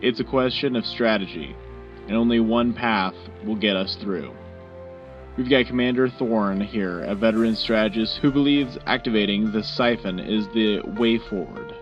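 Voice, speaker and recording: A man playing a measured, serious host moderating a scripted wartime radio debate. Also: fairly low pitch, lightly acted. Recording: dull lo-fi radio sound with music bed.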